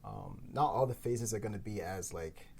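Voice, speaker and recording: A man's voice: lowish voice, normal speed, gravelly, a little mellow, husky. A man co-creating a podcast, talking through ideas in a thoughtful, rambling way with many fillers. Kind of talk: casual round-table discussion.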